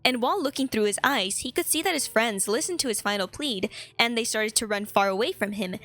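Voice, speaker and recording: A woman's voice: a little high, fairly quick, a bit bright. A woman hosting a video, giving a scripted but casual story recap with chatty, conversational commentary. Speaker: video host.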